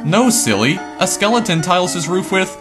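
A man voicing a loud, overexcited and boastful skeleton who exclaims everything. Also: high-pitched, sing-song, normal speed, music in the background, pitched above the performer's own voice. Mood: excited.

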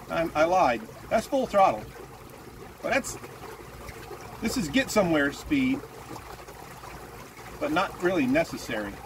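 A man in his sixties casually demonstrating boat motors, talking in a lively, rambling way and correcting himself, recorded outdoors on a small boat by a mounted camera. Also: fairly high pitch. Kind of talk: casual product demo. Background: motor and water noise.

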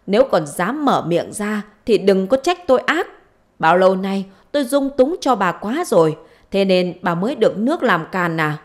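Audio story role: irate middle-aged village husband, played by a woman, threatening, scolding, lively intonation. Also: medium pitch, moderate pace, lightly acted. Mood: furious. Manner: gruff-worded scolding and threats.

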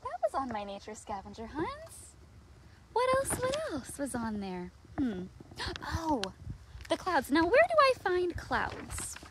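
A woman's voice: high voice, unhurried. An American woman hosting a storytime for young children outdoors, talking expressively and putting questions to her viewers. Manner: animated and expressive, with dramatic gasps, whispers and questions. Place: outdoors in a backyard. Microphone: handheld or propped phone at arm's length. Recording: outdoor phone recording with clear background noise.

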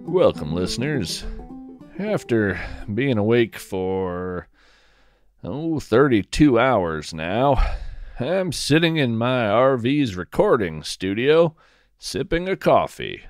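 A man hosting a scripted fiction podcast, narrating as a musing field naturalist in a measured, folksy storytelling manner. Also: mid-range voice, sing-song, dry recording, studio quality, soft background music. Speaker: podcast host.

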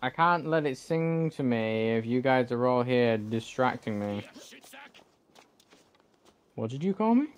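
A man's voice: mid-range voice, animated, a little slow, pauses often, slight background noise. A young man who speaks English as a second language, giving casual let's-play commentary, rambling, correcting himself and swearing at the game. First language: not English. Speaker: gameplay streamer.

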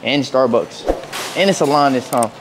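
A young man, chatting casually to viewers in a slangy, relaxed way as he walks through a store and a parking lot. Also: mid-range voice, unhurried, noisy background. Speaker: vlogger. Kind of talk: casual vlog chat. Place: outdoors in parking lots and inside a big store.

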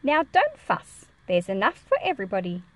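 Woman reading as a sweet gentle old aussie lady from a storybook, fond and soothing, voice goes up and down a lot. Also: medium pitch, huge pitch swings, normal speed, no room sound, muffled recording. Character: gentle lonely old lady who loves pigeons.

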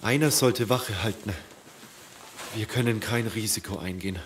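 Weary male survivor, played by an actor, cautious, lowish pitch, radio play with faint ambience. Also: normal speed, slight background noise, natural voice. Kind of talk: German radio play acting.

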